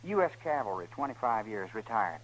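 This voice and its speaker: A man's voice: on the low side, very animated, a little fast, low-quality recording. An actor playing an anxious, polite eighteen-year-old son of a cattleman in a radio western, hesitant and respectful. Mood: worried. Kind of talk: 1950s radio western acting. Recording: old radio drama recording.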